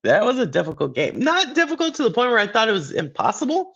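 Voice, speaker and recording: Hoarse, gravelly man with a sore throat. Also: wildly swooping pitch, fast, a bit bright, highs cut off. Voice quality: deeper than usual. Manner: rambling, conversational, with many fillers and restarts. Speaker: podcast host and streamer. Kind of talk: casual gaming and business commentary.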